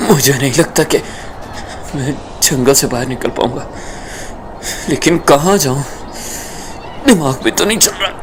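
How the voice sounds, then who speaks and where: A man's voice: medium pitch, unhurried, long pauses, noisy background, natural voice. A man playing a cautious, bossy young male university student in an amateur audio drama, with an emphatic, dramatic delivery. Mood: panicked.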